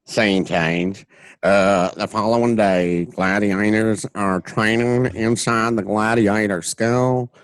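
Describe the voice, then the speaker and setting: A man's voice: fairly low pitch, steady tone, takes it slowly, smooth. A man narrating, reading out scene changes and stage directions from a drama script.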